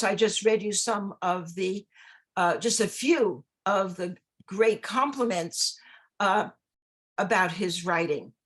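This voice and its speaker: A woman introducing an author at an online book event, reading quotes aloud in a measured way. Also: mid-range voice. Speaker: host. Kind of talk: event introduction on a video call.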